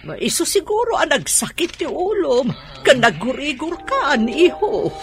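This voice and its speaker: A woman's voice: high-pitched, big pitch swings, moderate pace. A woman playing a worried middle-aged mother with an Ilocano Filipino accent in a radio drama, questioning with concern. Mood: fussing, worried. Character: worried, fussing mother of a grown son.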